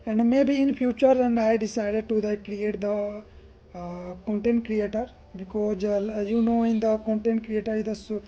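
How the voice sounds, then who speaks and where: Mellow-voiced Indian woman host. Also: medium pitch, fairly even intonation, moderate pace, lo-fi sound. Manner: asks short questions, acknowledges with brief okays. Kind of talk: casual language-practice chat.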